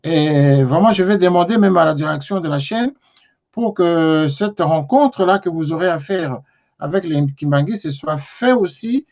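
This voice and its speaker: A man hosting a broadcast talk show, his voice sitting a little high. He speaks politely and carefully, pausing often on fillers. The TV sound is dull and lo-fi. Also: animated, a little mellow. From Congo. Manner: polite, measured, many hesitations. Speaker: TV show host.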